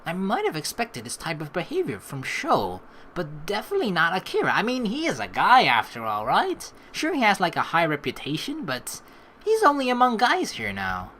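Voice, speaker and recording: Lively low-voiced woman gamer. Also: moderate pace, slight background noise. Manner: casual, expressive, joking asides. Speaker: let's play host. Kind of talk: reading game narration aloud with casual commentary. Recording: soft game music behind. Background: game music.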